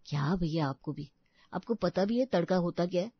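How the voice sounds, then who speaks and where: A woman's voice: lowish voice, animated, moderate pace, husky, no room sound, lo-fi sound. A woman voicing an outspoken young daughter-in-law, teasing and sarcastic, in a cartoon story. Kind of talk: cartoon moral story voice acting.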